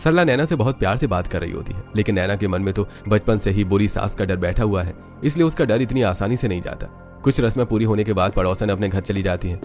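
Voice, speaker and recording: A man's voice: fairly low pitch, quick pace, a little mellow, soft background music. A man narrating a scripted family story as a third-person storyteller.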